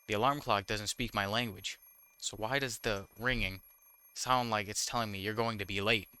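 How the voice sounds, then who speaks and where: Bright-voiced man reading a game aloud. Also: fairly low pitch, steady tone, normal speed, clean tone, no room sound. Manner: stumbling over words when reading. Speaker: gaming YouTuber, host. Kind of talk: reading visual novel text aloud with casual commentary.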